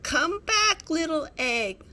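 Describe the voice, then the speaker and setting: A woman voicing a small, plucky, easily flustered young male dinosaur in a children's storybook, exclaiming and yelling. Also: high voice, big pitch swings, takes it slowly, a little hiss or hum, voice pushed up, heavily acted. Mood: urgent, yelling. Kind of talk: children's storybook character reading.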